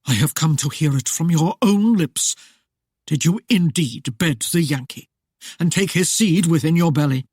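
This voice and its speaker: A woman voicing a stern, harsh masked lord with a rasping, curt and commanding voice. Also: low-pitched, animated, normal speed, darkish tone, dry recording, clean studio sound. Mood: cold.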